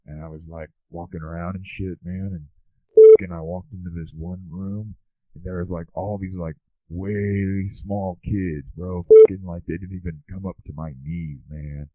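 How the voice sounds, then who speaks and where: A man's voice: low-pitched, steady tone, normal speed, dry recording. A man playing a clueless, foul-mouthed stoner dude who rambles in slang through a comic phone-in story. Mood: dazed rambling. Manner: slangy, rambling, says "dude" and "bro" constantly. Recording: tinny lo-fi telephone line.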